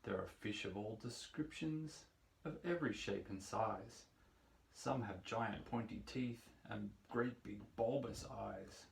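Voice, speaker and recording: A man reading a rhyming picture book aloud for young children in a measured, playful storytelling manner. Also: on the low side, creaky, slight background noise. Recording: roomy echo, distant microphone.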